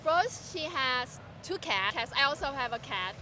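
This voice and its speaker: Bright giggly young woman. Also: sits high, animated, measured pace, a little hiss or hum. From Vietnam (Ho Chi Minh City). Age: 20s. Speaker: interviewee. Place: outdoors at a city street parade.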